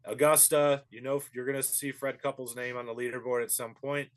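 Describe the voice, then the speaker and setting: Man, golf pro guest, easygoing, thoughtful, lots of ums, mid pitch. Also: little pitch movement, a little fast. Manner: easygoing and thoughtful, with frequent fillers. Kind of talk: phone-in interview analysis.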